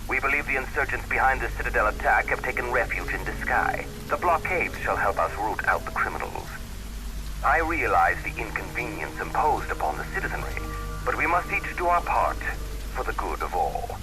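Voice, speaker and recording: An actor voicing a stern, formal high commander in a video game, reading an official statement with authority. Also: male voice, big pitch swings, normal speed, background noise.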